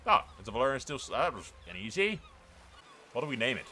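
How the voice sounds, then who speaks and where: A man's voice: mid-range voice, big pitch swings, quick pace, bright, forceful tone, slight background noise. A man commentating casually on a roleplay strategy game playthrough, with an informal, slangy way of talking. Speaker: gaming channel host.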